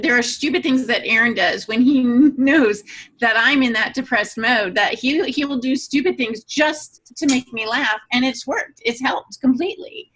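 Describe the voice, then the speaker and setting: A woman's voice: fairly high pitch, pushed and bright, rough recording. A woman co-hosting a podcast, chatting casually and playfully as she tells personal anecdotes. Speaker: podcast co-host.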